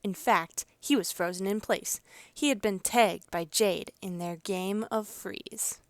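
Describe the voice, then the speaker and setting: A woman's voice: mid-range voice, slow pace, dry recording. A woman narrating a children's picture book as a storyteller, reading expressively. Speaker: read-aloud storyteller.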